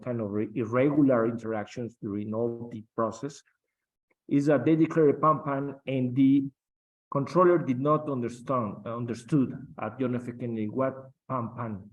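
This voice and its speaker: A middle-aged man whose first language is Spanish speaks slowly and haltingly, with many fillers and restarts. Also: mid-range voice, rough recording. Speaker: guest captain. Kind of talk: interview on aviation.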